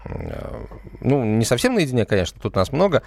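A man's voice: medium pitch, wildly swooping pitch, normal speed, vocal fry, a little mellow. A man hosting a live radio call-in show in a chatty, wry way, often searching for words. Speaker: radio host of a legal advice call-in show. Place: radio studio. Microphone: studio microphone.

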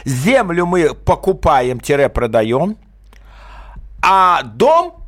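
A man with a slightly high-pitched voice gives advice as a legal expert on the radio. He talks conversationally, with blunt, emphatic short answers and practical instructions. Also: very animated, drawn-out words, a bit bright. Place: radio studio. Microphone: studio microphone.

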